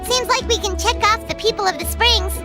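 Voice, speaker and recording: Tiny fairy sidekick for a fantasy game: cheerful, extremely high, loud music behind. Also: female voice, fairly quick. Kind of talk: animated fantasy game acting.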